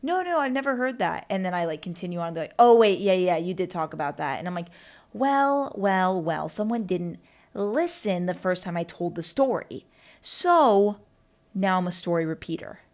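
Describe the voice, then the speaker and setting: A woman's voice: fairly low pitch, big pitch swings, moderate pace. A young woman hosting her own podcast and rambling casually on her own, with lots of fillers and asides. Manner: rambling and chatty, with lots of fillers and self-talk. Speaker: podcast host. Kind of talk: casual solo podcast monologue.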